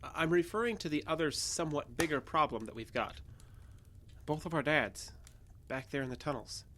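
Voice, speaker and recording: An actress playing a guarded, evasive wounded character who hides the truth with hesitant speech in an audio drama. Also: female voice, low-pitched, normal speed, fairly bright tone, a little hiss or hum. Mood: evasive, apologetic. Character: guarded, evasive wounded alien passing as human. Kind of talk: naturalistic audio drama acting.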